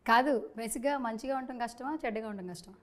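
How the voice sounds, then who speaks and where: A woman interviewer. She talks fast and chattily, dropping English words into a casual promotional conversation. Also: a little high, fairly bright tone. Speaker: interviewer, host. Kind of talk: casual film promotion chat.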